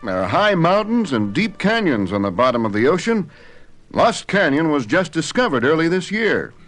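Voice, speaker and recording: A man's voice: fairly high pitch, big pitch swings, moderate pace, a bit bright, faint music behind. An actor playing a genial, confident scientist father, assured and fatherly, in a cartoon adventure audio drama. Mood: genial, explaining. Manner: assured, fatherly, clearly enunciated.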